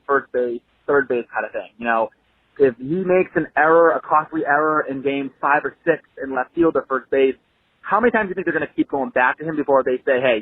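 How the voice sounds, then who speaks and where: A man's voice: medium pitch, breathy edge, no echo. A man from Boston leaving a casual voicemail for a sports show, heard over a narrow, tinny phone line. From Boston area, United States. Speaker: voicemail caller. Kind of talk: casual fan question.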